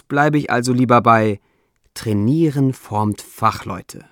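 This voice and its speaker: A man with a non-native German accent that struggles with umlauts, reading his own essay in a measured, deliberate way with dry, self-ironic humor. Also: medium pitch, darkish tone, no room sound. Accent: non-native German, trouble with umlauts.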